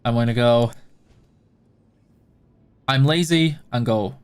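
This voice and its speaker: A man's voice: medium pitch, slow pace, clear, resonant tone. A man with a Yorkshire accent, streaming a game and casually answering viewer questions in a chatty, self-deprecating way. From Yorkshire, England.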